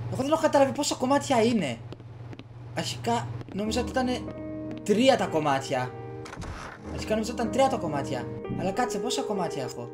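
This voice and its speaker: A young Greek man plays a video game and comments casually as he goes, muttering and exclaiming to himself. He is at a desk microphone in a home room, with game music clearly behind him. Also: high-pitched. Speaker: gaming streamer. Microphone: desktop USB microphone.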